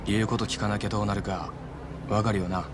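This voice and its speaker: A man's voice: fairly low pitch, little pitch movement, fast talker, background noise, natural voice. A man voicing a chatty, sly taxi driver and small-time crook in a video game cutscene, with glib, informal speech. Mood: cold, threatening.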